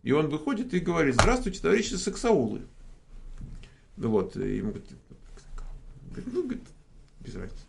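Guy hosting a live q&a, jokey, rambling, lots of "uh", fairly high voice. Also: wildly swooping pitch, fast talker, gravelly, long pauses, background noise. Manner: joking, rambling, many fillers. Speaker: host. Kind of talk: casual live Q&A, reading viewer questions.

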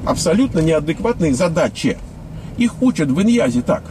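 A man's voice: fairly high pitch, very animated, moderate pace. A man in his fifties from the Soviet Union with a Russian accent, giving a blunt, scornful monologue to viewers, full of hesitations. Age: 50s. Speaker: vlogger. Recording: loud outdoor traffic noise.

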